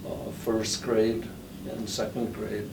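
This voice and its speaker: An older man born in the Netherlands, with Dutch as his first language, recalling his past slowly with many pauses and fillers in an oral history interview. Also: on the low side, flat delivery, vocal fry. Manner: slow, halting, many fillers. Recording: roomy, distant, noisy recording.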